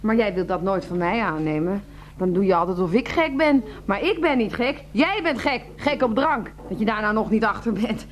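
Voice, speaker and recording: An actress playing a defensive, easily flustered wife in a radio drama, theatrical and given to exclaiming and repeating herself. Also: female voice, medium pitch, lively intonation, normal speed, a little hiss or hum, natural voice. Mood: accusing. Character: touchy, defensive wife with a sweet tooth.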